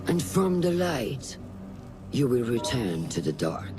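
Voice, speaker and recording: Commanding master assassin for a game, ceremonial n slow, huge pitch swings. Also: big pitch swings, music in the background. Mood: solemn, stern. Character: stern, commanding master assassin leading a solemn initiation. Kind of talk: cinematic game acting.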